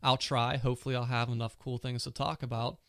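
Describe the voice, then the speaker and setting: A man's voice: medium pitch, little pitch movement, brisk, fairly bright tone, dry recording, studio quality. A man hosting his own casual tech podcast, chatty and full of fillers, with a cold and a sore throat. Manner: chatty, many "you know" fillers. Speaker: podcast host.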